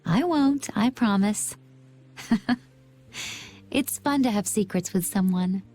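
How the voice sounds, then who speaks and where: A woman voicing a calm, gentle, slightly aloof young woman in an anime game dub. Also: mid-range voice, slow pace. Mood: gently teasing. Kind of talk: English-dubbed anime game voice acting. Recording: music under the voice. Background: music.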